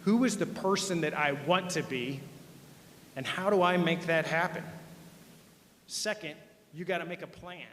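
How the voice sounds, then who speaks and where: A middle-aged man giving a joking, folksy speech to high school students, addressing the crowd directly. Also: normal speed, long pauses, fairly bright tone, a little hiss or hum. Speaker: hall of fame inductee speaker. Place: large school gym. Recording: echoey gym from far off.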